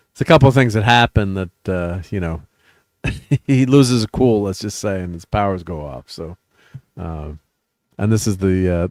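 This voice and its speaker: A man's voice: lowish voice, no room sound, clean studio sound. A middle-aged man hosting a casual chat show, rambling with many fillers and restarts. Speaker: host.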